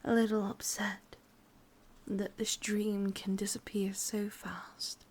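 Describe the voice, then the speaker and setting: A woman with an English accent playing a sadistic, possessive, vain witch in a breathy, whispered ASMR roleplay, intimate and menacing. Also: mid-range voice, flat delivery, slow pace, a little mellow. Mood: possessive, wistful.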